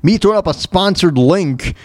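A man hosting a solo radio show, giving informal, opinionated commentary on the news in a halting, repetitive way. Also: high-pitched, expressive, no room sound, studio quality. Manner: informal, halting, repeats words and phrases for emphasis.

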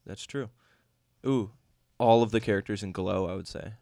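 A man's voice: lowish voice, moderate pace, vocal fry, dark tone. A man co-hosting a podcast, chatting casually and easygoing, often with short agreeing reactions. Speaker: podcast co-host. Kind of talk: casual banter chat.

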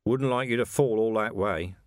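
A man's voice: on the low side, normal speed, dry recording, clean studio sound, close to an everyday voice. Man doing a growling, curt police commander. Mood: stern. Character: tough, weary male police commander. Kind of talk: audiobook character voice.

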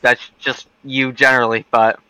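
A man's voice: mid-range voice, little pitch movement, normal speed, bright, forceful tone, smooth, dry recording, rough recording. A young man chatting casually on a podcast, laid-back and blunt. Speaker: podcast co-host. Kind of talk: casual chat.

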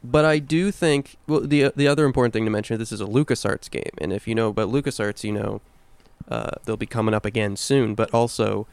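A man's voice: on the low side, fairly even intonation, fairly quick, gravelly, darkish tone, smooth, no echo. A man co-hosting a podcast, talking casually and off the cuff about video games. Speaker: podcast co-host. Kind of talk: casual unscripted video game discussion.

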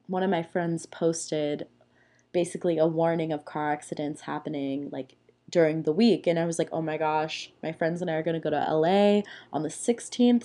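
A young woman hosting a casual solo podcast, hoarse and raspy from being sick, chatty and playful. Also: on the low side, dark tone. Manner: chatty, playful, rambling with fillers. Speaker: podcast host. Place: small room at home.

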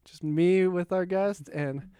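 A young man hosting a casual podcast conversation, chatty and quick to laugh. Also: high-pitched, dark tone, clean tone, no echo. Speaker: podcast host.

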